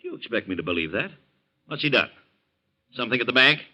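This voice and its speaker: A forceful, biting older man, stingy and quick to scorn. He fires off blustering sarcasm at a fast clip, in the heightened manner of a 1940s radio drama, on a dull old recording. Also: medium pitch, sing-song, full character voice. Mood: suspicious, mocking. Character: gruff, stingy older husband, scornful and hot-tempered.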